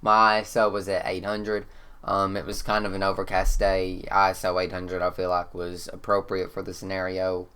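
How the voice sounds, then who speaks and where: A man with a Kentucky accent, congested and slightly hoarse, explaining one of his photos in a relaxed, rambling way with lots of "um.". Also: low voice, normal speed. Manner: relaxed, rambling, frequent "um" fillers. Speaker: photographer and channel host. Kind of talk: casual talk-to-camera explainer. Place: indoors.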